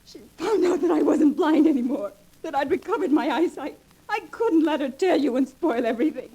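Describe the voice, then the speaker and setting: An actress playing a desperate, obsessively loving wife in 1940s radio melodrama, pleading and overwrought. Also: female voice, high voice, lively intonation, brisk, mellow, poor audio. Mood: frantic.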